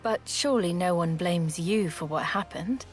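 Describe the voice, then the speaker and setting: A woman's voice: fairly low pitch, normal speed, faint music behind, lightly acted. A woman playing an earnest, compassionate traveler. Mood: earnest, concerned. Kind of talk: video game cutscene acting.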